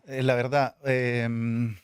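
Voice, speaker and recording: A man, a filmmaker, answering interview questions in a halting way, with many fillers and restarts. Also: medium pitch, flat delivery, smooth, dry recording, studio quality. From Chile. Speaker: filmmaker guest.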